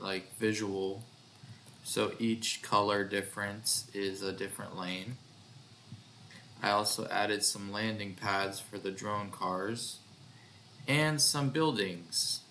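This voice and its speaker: A man giving a casual demo of his own software project, thinking aloud in a hesitant way. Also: lowish voice, slight background noise. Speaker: developer presenting own project. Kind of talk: casual screen-recorded demo narration.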